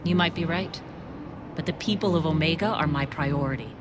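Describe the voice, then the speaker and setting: A woman's voice: sits low, a little fast, noisy background, lightly acted. A woman playing a principled, protective alien militia leader in a video game, firm, terse and wary. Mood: defiant. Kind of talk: cinematic video game acting.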